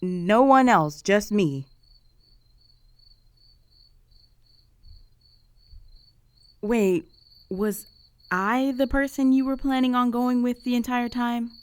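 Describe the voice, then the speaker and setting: A woman's voice: on the high side, a little slow, clean tone, dry recording, lightly acted. A young woman playing a jealous, flustered roommate with a secret crush in an intimate romantic audio roleplay, speaking in a hesitant, conversational way. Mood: surprised, hopeful. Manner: conversational, hesitant, sighing, emotionally exposed.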